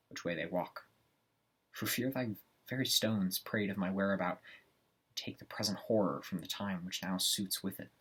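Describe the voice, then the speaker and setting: Casting: male performer as an ambitious, haunted Shakespearean noble, very deep and rough-edged, weighty theatrical delivery for a stage monologue. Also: fairly bright tone. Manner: theatrical, deliberate Shakespearean soliloquy with weighted pauses.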